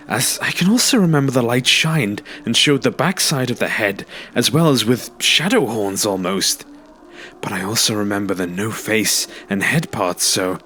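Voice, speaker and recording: A man's voice: medium pitch, fairly bright tone, a little hiss or hum. A man reading a first-person scary story aloud as a scripted narration. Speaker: narrator reading submitted ghost stories. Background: music.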